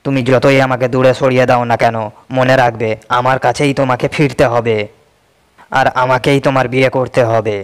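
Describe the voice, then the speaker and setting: An actor playing an arrogant, threatening army commander in a cartoon, talking in a smug and menacing way. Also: male voice, medium pitch, flat delivery, brisk, clean tone, natural voice. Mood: possessive. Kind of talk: cartoon voice acting.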